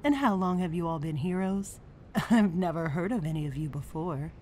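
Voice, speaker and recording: A woman's voice: on the low side, expressive, normal speed, mellow, slight background noise, close to an everyday voice. A woman playing a confident, challenging mentor in a superhero comic dub, with music underneath. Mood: cool, assured. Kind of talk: acted comic dub dialogue.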